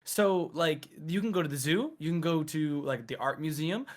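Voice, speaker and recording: Young man, slightly higher voice, easygoing, slangy, guest on interview chat. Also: normal speed. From Rhode Island, raised near Philadelphia. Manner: easygoing, casual slang, lists things eagerly. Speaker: guest streamer. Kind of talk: casual interview.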